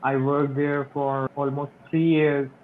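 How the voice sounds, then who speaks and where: A man practicing English in a video-call interview, speaking slowly and carefully like a learner. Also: mid-range voice, flat delivery, slight background noise. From Pakistan. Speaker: interviewer and channel host. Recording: tinny phone-like call audio.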